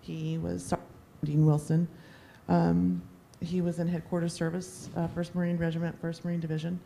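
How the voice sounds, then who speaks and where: Low gravelly woman stating facts. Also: flat delivery, normal speed, mellow, a little hiss or hum. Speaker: family member of a missing Marine. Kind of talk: open-mic remarks.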